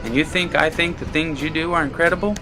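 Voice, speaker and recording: Man putting on a high-pitched, flirty tipsy woman. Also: fast, over music, lightly acted. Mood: teasing. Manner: teasing, flirtatious. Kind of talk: dramatic story reading.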